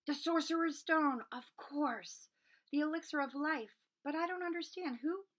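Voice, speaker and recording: A woman's voice: high-pitched, moderate pace, voice pushed up, close to an everyday voice. A woman voicing an earnest, anxious young wizard boy in a children's storybook, urgent and questioning. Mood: puzzled, questioning. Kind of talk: children's storybook read-aloud.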